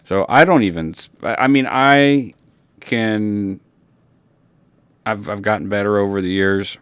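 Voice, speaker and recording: A man whose native language is Creole French, speaking in a reflective, unscripted personal monologue, halting and searching for words. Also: fairly low pitch, fairly bright tone, smooth. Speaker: personal documentary narrator.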